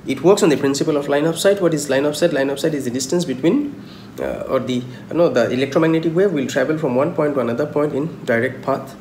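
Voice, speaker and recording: A young man giving an informal technical lecture in a halting, repetitive way, often asking questions and answering them himself. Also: fairly high pitch, darkish tone. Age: young adult. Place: small indoor room at home. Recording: roomy echo, clear background noise.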